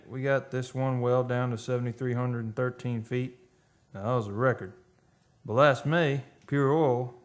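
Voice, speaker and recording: A man's voice: mid-range voice, natural voice. A man playing a folksy, middle-aged retired oil-field worker, telling his story conversationally in a radio play monologue.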